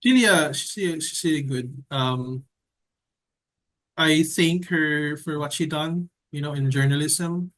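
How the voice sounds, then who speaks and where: A young man from the Philippines with a Filipino accent, talking casually in an interview in a hesitant, measured way with frequent pauses. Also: a little high, a bit bright. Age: young adult. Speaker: editorial cartoonist being interviewed.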